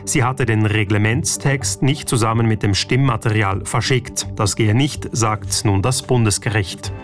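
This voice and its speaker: A man's voice: lowish voice, unhurried, soft background music. A man reading scripted radio news as a presenter. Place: radio studio.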